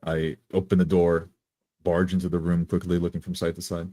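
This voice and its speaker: Low-pitched man, close dry sound. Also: completely flat delivery, fairly quick, mellow, no room sound. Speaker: tabletop game player. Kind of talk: improvised first-person roleplay narration.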